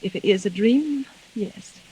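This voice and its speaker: Husky, anxious wife in vintage radio drama. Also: female voice, medium pitch, animated, mellow, rough recording. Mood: anxious confiding. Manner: anxious, insistent, halting. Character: frightened, suspicious wife haunted by fears of murder. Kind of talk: 1940s radio drama acting. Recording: vintage 1940s radio recording.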